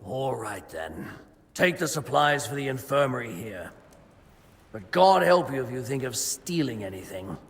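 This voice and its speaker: Fussy, gravelly monk. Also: male voice, medium pitch, sing-song, a little slow. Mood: grudging. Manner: grudging, formal, pious. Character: fussy, stingy monk guarding monastery food stores. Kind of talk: video game cutscene acting. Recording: long reverb, music behind.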